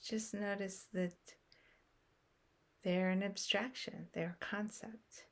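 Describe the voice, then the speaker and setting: A woman guiding a meditation in a soft, unhurried way, with long pauses between short phrases. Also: mid-range voice, fairly even intonation. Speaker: meditation guide. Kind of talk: guided meditation.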